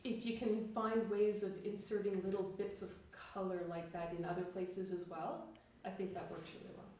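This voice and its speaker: A woman's voice: mid-range voice, level tone, moderate pace, darkish tone. A woman in a writers' group giving casual, constructive feedback on someone's work. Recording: echoey, distant from the mic.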